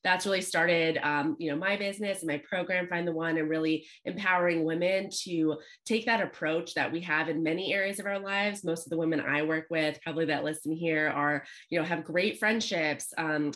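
Low-pitched woman from Los Angeles, chatty and upbeat guest coach. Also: brisk, hardly any pauses, fairly bright tone. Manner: chatty, upbeat, frequent fillers. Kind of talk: podcast interview.

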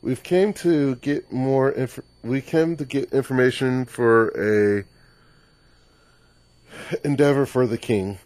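A man's voice: mid-range voice, takes it slowly, pauses often, darkish tone, no echo. A man playing a tabletop game who answers politely in character. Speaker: player.

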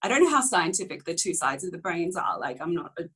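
A woman in her twenties, whose was Korean, speaks with a slightly low voice. She tells her story candidly in a casual interview, wandering and correcting herself along the way. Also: very animated, fast, fairly bright tone, slightly rough. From Australia. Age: young adult. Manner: rambling, candid, many ums and self-corrections. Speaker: interview guest.

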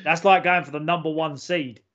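A man's voice: fairly high pitch, steady tone, brisk, fairly bright tone. An English man co-hosting a podcast, blunt and swearing freely in casual banter. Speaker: podcast co-host. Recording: muffled lo-fi podcast audio.

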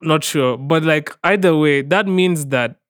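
A man's voice: a little high, unhurried, a bit bright, smooth, dry recording, studio quality. A young man co-hosting a podcast in casual chat, asking questions and steering the talk back on track. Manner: inquisitive, steers the talk, reads out chart details. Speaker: podcast co-host.

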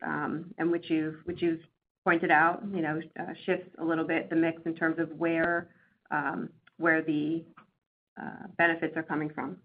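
A woman speaking as a company executive, answering earnings call questions with careful, hesitant corporate phrasing. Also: low voice. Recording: tinny phone line, faint background noise.

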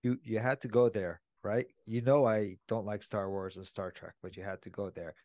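A man hosting a podcast in casual chat, jokey and quick to laugh. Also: on the low side, fairly even intonation, darkish tone, dry recording, clean studio sound. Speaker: podcast host.

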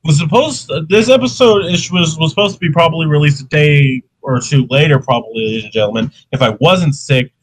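A man's voice: fairly high pitch, brisk, hardly any pauses, poor audio. A young man who is sick and coughing, hosting a casual podcast chat in a rambling, profane way. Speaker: podcast host.

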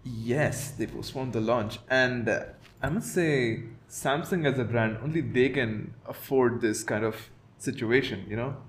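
A man hosts a tech podcast in a voice of middling pitch. He opens with an upbeat, energetic greeting, then moves into easy chat and questions for his guest. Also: unhurried, slight background noise. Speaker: podcast host. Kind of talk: podcast hosting.

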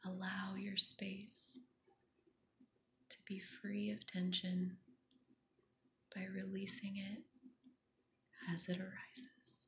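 A woman guiding a meditation in a soft, breathy near-whisper, gentle and soothing. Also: mid-range voice, monotone, slow, pauses often. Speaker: meditation guide, podcast host. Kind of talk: guided meditation.

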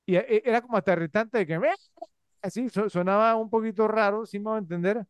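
Male podcast co-host, high clear voice, rambling and filler-heavy. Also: fast talker, dry recording. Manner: filler-heavy, rambling with frequent tag questions. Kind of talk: casual movie review chat.

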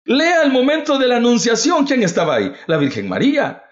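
Fervent man preaching, lively pitch. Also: fairly quick. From El Salvador. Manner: fervent, calls listeners "brother", repeats rhetorical questions. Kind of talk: livestreamed devotional talk. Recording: dull lo-fi recording.

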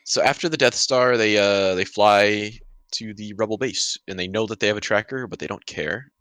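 Lowish bright guy voice, podcast chat with buddies, informal, acts out lines. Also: normal speed, no room sound. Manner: informal, acts out paraphrased dialogue. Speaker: podcast co-host. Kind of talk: casual chat with friends.

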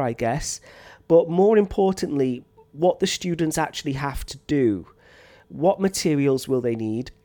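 A science teacher giving relaxed, unscripted advice to other teachers, with frequent ums and a slight cough from a chest infection. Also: male voice, fairly high pitch. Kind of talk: teacher training talk.

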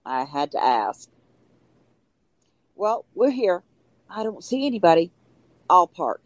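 Woman playing an animated, reckless female schemer. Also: fairly low pitch, slow, no echo, full character voice, poor audio. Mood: playful. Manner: lively, conversational. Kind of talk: amateur audio drama dialogue.

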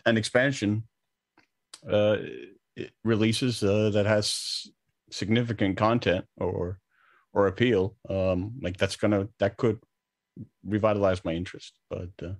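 A man co-hosting a podcast, talking casually and haltingly, repeating words as he thinks. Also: lowish voice, steady tone, dry recording, clean studio sound. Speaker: podcast co-host. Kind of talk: casual unscripted gaming discussion.

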